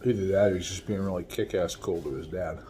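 A man in his forties chats his way through a food review at home, his voice mellow and a little low. He talks easily between bites, recorded on a phone held close with a faint hiss behind him. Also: fast talker. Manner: relaxed, chatty, talks while eating. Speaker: food vlogger. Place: small room at home, window blinds. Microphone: phone camera at arm's length.

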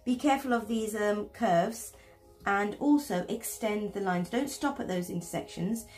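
A woman's voice: mid-range voice, moderate pace, darkish tone. A woman talking viewers through drawing steps at her desk, chatty and self-deprecating. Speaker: art teacher. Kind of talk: step-by-step drawing tutorial.

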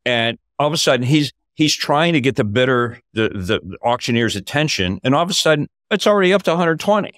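An older man, a podcast host, telling a story in casual talk over a video call from a home office, his voice climbing when he gets excited. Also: medium pitch, brisk, hardly any pauses, no echo, clean studio sound.

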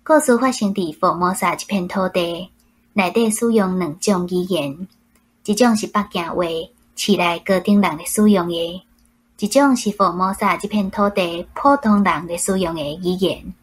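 A woman's voice: lowish voice, moderate pace, husky. A woman with a Taiwanese accent, a book club member reading a scripted reflection aloud.

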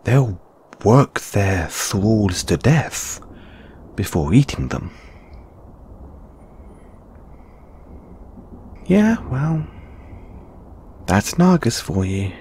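A man acting a huffy, slow-talking lamia flatmate. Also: lowish voice, slight background noise. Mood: explaining, disapproving. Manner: huffy, halting, sighing, defensive. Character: huffy, flustered male lamia flatmate, snake monster boy. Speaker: audio roleplay performer. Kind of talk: intimate one-sided audio roleplay acting.

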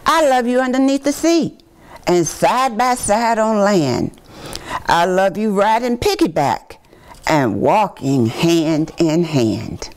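An older woman reads a children's picture book aloud in a deliberate, expressive storytime manner. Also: medium pitch. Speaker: city commissioner reading to children. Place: small indoor room with bookshelves.

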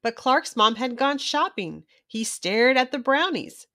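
A woman reads aloud for young children as a storybook host. Her voice is fairly high and moves up and down a lot, giving the scripted reading an expressive, storytelling feel. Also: unhurried, no echo, studio quality. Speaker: children's book reader and host.

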